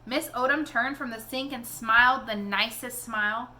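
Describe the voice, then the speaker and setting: A woman reading a children's novel aloud in an expressive storytelling style. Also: on the high side, moderate pace.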